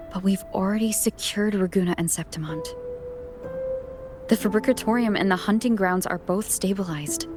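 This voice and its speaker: A woman playing a calm, resolute young heroine in a video game, composed and plain-spoken. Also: on the low side, lightly acted.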